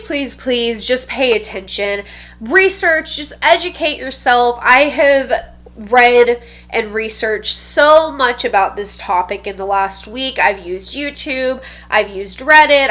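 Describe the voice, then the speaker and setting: A woman vlogger with a stuffy, congested nose speaks in a fairly high, sharp and bright voice. Her delivery is blunt and slangy, peppered with swearing and casual "bro" and "dude". She talks straight to camera in a loose, unscripted monologue. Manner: blunt, profane and emphatic.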